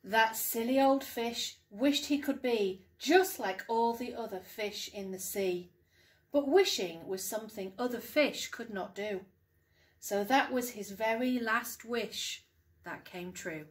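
Slow-paced middle-aged woman reading aloud. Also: a little high. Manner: expressive, deliberate storytelling with emphasised words. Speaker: parent reading a picture book to children. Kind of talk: storybook read-aloud for young children. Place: small living room at home.

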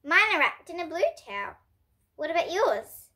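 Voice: extremely high pitch, sing-song, moderate pace, bright, forceful tone, voice pushed up, full character voice. A child reader voicing a cheerful, imaginative little boy from a storybook, eager and confident. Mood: excited, insistent.

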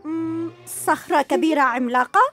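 A woman's voice: high-pitched, moderate pace, a bit bright, soft background music. A woman voicing a brave young boy cat hero in a dubbed cartoon.

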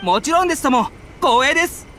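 A man's voice: extremely high pitch, animated, a little fast, sharp tone, a little hiss or hum, full character voice. A man playing a humble, eager young townsman in a video game, very polite and deferential. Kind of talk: Japanese game voice acting.

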